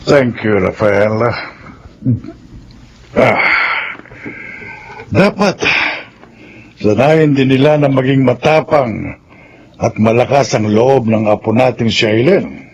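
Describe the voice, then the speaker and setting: A man playing a thoughtful, agreeable old grandfather with a gravelly voice in a radio drama. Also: medium pitch, animated, slow pace, long pauses, dull audio, slight background noise. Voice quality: gravelly, husky.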